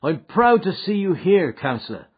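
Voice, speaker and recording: A man voicing a bold, hearty young gangster in an audiobook, cocky and quick to answer back. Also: sits high, sing-song, slightly rough, muffled recording, voice pushed up, heavily acted. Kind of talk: audiobook character acting.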